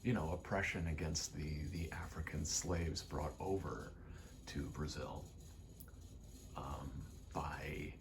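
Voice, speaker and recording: A soft-spoken man rambling casually in ASMR style, hesitant and pausing often between short phrases. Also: a bass voice, background noise. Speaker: ASMR vlogger and aspiring actor.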